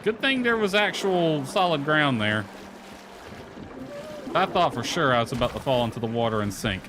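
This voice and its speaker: A man's voice: medium pitch, expressive, moderate pace. Man muttering game commentary over background noise. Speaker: video game streamer. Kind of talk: casual gameplay commentary.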